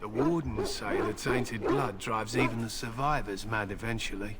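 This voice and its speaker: A man's voice: on the low side, moderate pace, heavy background noise, natural voice. An actor playing a stern male warrior in a video game, solemn and curt, speaking proudly of battle and his hounds. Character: stern male human warrior, grim hunter with war hounds. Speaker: game character. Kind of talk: voiced game dialogue. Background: loud game music.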